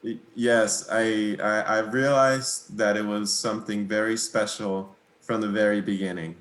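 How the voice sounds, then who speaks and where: A young man from Mexico whose first language is Spanish, answering interview questions in a relaxed, hesitant way with many pauses and small laughs. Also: lowish voice, echoey room, poor audio. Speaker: guitarist, interview guest.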